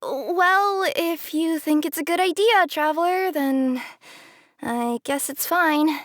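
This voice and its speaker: A woman voicing a gentle, modest young courier in a video game, apologetic and hesitant. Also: sits high, slow, no echo, studio quality. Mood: bashful, hesitant. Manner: hesitant, deferential. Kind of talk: video game character acting.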